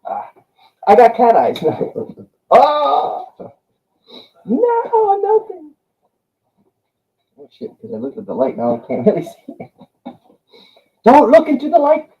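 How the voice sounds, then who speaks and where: A man chatting casually, with a halting way of speaking that repeats words. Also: extremely high pitch, huge pitch swings. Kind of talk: casual chat. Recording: dull, muffled lo-fi sound.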